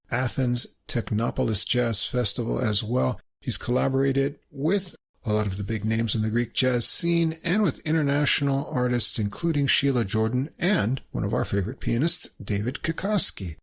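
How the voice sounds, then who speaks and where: A man's voice: medium pitch, gravelly, fairly bright tone, husky. A man hosting a podcast, reading out musician credits and describing recordings in an informative way. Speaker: podcast host.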